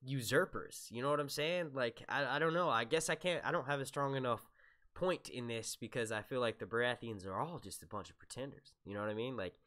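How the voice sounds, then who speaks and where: A man's voice: mid-range voice, fairly quick, a bit bright. A man hosting a casual podcast chat, rambling with lots of fillers. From United States. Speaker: podcast host.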